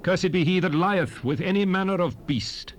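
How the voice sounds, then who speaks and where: A man playing a solemn prophet, reciting scripture in a measured, declamatory way for a dramatized Bible recording. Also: high-pitched, close to an everyday voice. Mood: solemn, stern. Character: solemn male prophet proclaiming blessings and curses. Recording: hissy 1970s cassette recording.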